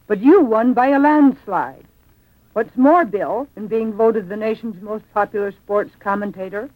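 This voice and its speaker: A woman's voice: fairly high pitch, no room sound, phone-quality sound. Formal woman on thin old radio. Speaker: magazine editor, guest presenter. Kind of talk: scripted formal radio presentation.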